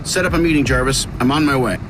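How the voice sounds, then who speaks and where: An actor playing a cocky, wisecracking billionaire inventor in a video game, with a breezy, sardonic manner. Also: male voice, medium pitch, fast talker, background noise, lightly acted. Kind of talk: scripted video game voice acting. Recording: voice under loud game music.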